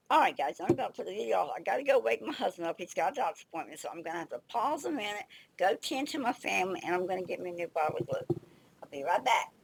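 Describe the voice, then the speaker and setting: An older woman with a slight head cold and a raspy, gravelly voice, chatting casually and thinking aloud as she demonstrates a craft project. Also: mid-range voice, expressive, quick pace, poor audio. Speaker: craft video host.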